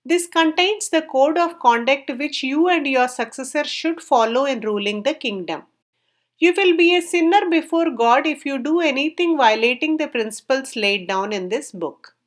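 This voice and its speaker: A woman's voice: high voice, expressive, normal speed. A woman voicing a stern, admonishing old male royal priest in a storybook tale. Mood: solemn.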